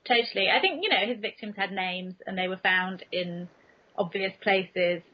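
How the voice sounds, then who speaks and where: A woman's voice: medium pitch, moderate pace. A woman talking candidly and casually as a podcast guest, telling comic anecdotes in a self-deprecating way, on a muffled lo-fi recording. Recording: dull, muffled lo-fi podcast audio.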